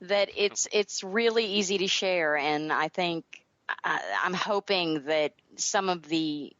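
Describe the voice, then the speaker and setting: A woman's voice: lowish voice, slow, a bit bright, no room sound. A woman from the American South chatting casually as a guest in an interview, heard over muffled, remote-sounding audio.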